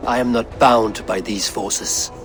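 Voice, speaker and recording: An actor playing a proud, defiant Norse god and war leader in a dramatic video game. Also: male voice, on the low side, steady tone, takes it slowly, music in the background.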